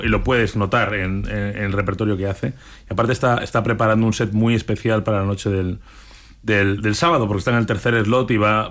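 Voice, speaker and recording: Friendly music agent, relaxed. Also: male voice, fairly low pitch. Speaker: music booking agent guest. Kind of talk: radio interview.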